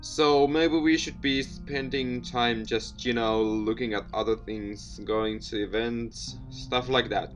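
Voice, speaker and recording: A man's voice: mid-range voice, steady tone, slow pace, few pauses, faint music behind, natural voice. A man playing a wry, self-doubting teenage boy, the protagonist of a visual novel, in a dry and brooding manner. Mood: hesitant.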